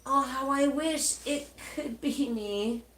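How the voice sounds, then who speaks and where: A woman's voice: high voice, slow pace, a bit bright, reverberant, a little hiss or hum, pitched above the performer's own voice. A woman voicing a small, eager Christmas teddy bear in a storybook, pleading earnestly to be loved. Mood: wistful.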